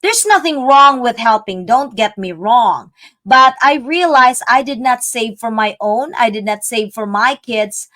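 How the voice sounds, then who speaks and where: A woman's voice: on the high side, expressive, a little slow, bright, forceful tone. Chatty woman from the Philippines. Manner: chatty, earnest, laughs easily. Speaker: digital business coach. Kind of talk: casual live-stream chat.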